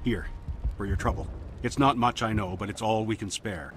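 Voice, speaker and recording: An actor playing a practical, courteous colony leader in a video game, businesslike and grateful. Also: male voice, lowish voice, normal speed, soft background music, lightly acted.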